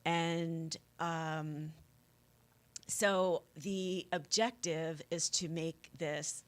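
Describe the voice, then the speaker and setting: A woman speaking as an organizer in an unscripted radio interview, explaining earnestly and haltingly. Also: deep voice, steady tone. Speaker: activist organizer, interview guest.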